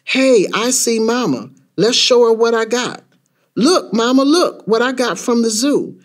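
A man playing an excitable, bewildered young boy in a rhyming dramatic story, speaking in a childlike, insistent way. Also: high voice, moderate pace, voice pushed up. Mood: excited, playful. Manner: childlike, insistent, repeated questions. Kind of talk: dramatic spoken-word storytelling in rhyme.

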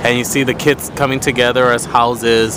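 New host, chatty man, interviewing on a street, traffic noise, mid pitch. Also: level tone. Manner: chatty, quick back-channel replies. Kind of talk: casual interview.

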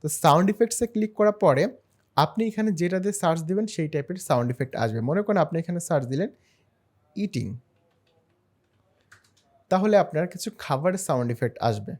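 A man narrating a screen-share software tutorial in a step-by-step, explanatory way. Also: fairly high pitch, animated, quick pace, long pauses. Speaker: tutorial host.

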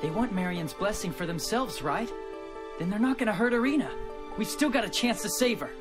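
An earnest, high-voiced young hero. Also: male voice, animated, fairly quick. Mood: determined. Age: young adult. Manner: plain-spoken, urgent, earnest. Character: earnest, loyal, impulsive teenage boy hero. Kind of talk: video game cutscene acting. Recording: loud music under the voice.

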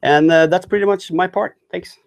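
A man giving a conference talk on a product his team built, speaking in halting sentences with many restarts and fillers. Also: high voice, fairly even intonation, vocal fry. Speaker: tech talk presenter.

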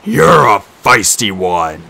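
A man voicing a huge, dim-witted male cyclops who growls his words slowly and heavily. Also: lowish voice, sing-song, vocal fry, pushed and bright, heavily acted. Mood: growling menace. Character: huge brutish one-eyed male cyclops.

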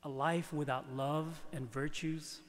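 A man preaches a prepared homily as a priest, slowly and with deliberate pauses. His voice sits a little high for a man and rings with the long reverb of a large church. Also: fairly even intonation. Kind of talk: scripted homily read from the pulpit. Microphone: lectern microphone.

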